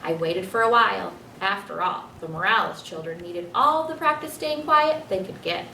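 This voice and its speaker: A woman voicing a clever, self-satisfied pet hamster who tells his adventures in an expressive storybook reading. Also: mid-range voice, normal speed. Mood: playful, self-assured. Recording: roomy, distant mic, faint noise.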